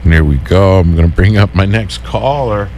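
A man hosting a community radio call-in show, talking in a relaxed, hesitant way with many fillers. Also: sits low, moderate pace, a little hiss or hum. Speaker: radio host.

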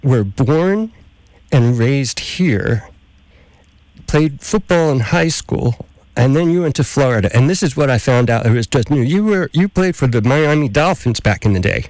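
Chatty middle-aged radio host, dry sound. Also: male voice, mid-range voice, lively intonation, darkish tone, no room sound, low-quality recording. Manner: chatty, halting, many restarts and fillers. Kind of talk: casual radio interview. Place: island community radio station.

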